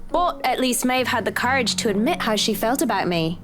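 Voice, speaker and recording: A woman's voice: fairly high pitch, expressive, brisk, lightly acted. A woman playing a cheeky, defiant, mischievous schoolgirl in a visual novel game. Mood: bitter, mocking. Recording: long reverb, game music behind.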